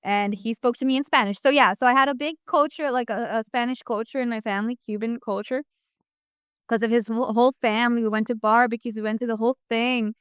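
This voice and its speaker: A woman's voice: on the high side, steady tone, quick pace, clear, resonant tone, dry recording, clean studio sound. A young woman from the United States, a guest talking casually in an interview, rambling with many fillers and restarts.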